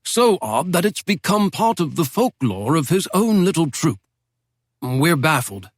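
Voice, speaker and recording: A man's voice: a little high, big pitch swings, unhurried, dry recording, clean studio sound, voice pushed up. A man voicing a courteous British male officer with a polite, wry manner.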